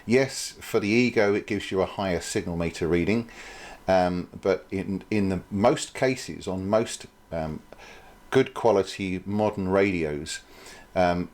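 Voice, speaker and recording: A man's voice: sits low, unhurried, darkish tone. A man presenting an informal product demonstration, explaining things in a hesitant, thinking-aloud way.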